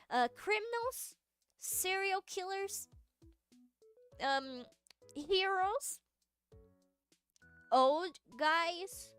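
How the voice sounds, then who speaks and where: A young Brazilian woman with a Brazilian Portuguese accent chats with her stream in a high, light voice. She talks slowly, trails off into fillers and restarts, and breaks into giggles. Soft music plays faintly behind her. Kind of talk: casual chat with viewers.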